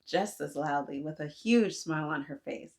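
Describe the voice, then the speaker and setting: A woman reading a children's storybook aloud, gently and expressively, with little asides to her young listeners. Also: low-pitched, moderate pace. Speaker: children's book reader.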